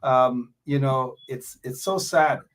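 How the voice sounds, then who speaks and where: A man's voice: mid-range voice, fairly even intonation, takes it slowly, a bit bright. A man hosting a talk show, chatting casually and sympathetically with a caller.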